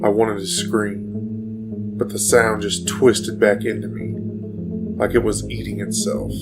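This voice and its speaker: An actor playing a haunted, feverish man changed by otherworldly torment, telling his tale with halting, brooding intensity in a gothic audio drama. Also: on the low side, completely flat delivery, vocal fry, rough recording. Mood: feverish, entranced. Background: guitar music.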